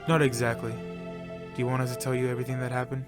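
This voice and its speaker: A cocky, reckless fighter talks eagerly in a middle-pitched voice, played by a man. A clear music bed runs behind him. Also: completely flat delivery, fast talker, natural voice. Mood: knowing. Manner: brash, eager.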